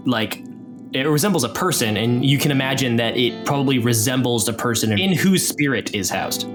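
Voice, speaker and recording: A man runs a tabletop game, narrating casually and thinking aloud as he explains. His medium-pitched voice moves in a lively way, with music playing clearly behind him. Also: normal speed. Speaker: game master.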